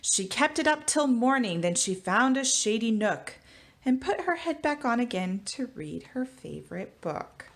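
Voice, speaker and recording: A woman teacher giving a storybook read-aloud for children, with expressive delivery. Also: a little high, a little slow. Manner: expressive, animated reading. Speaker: elementary school teacher.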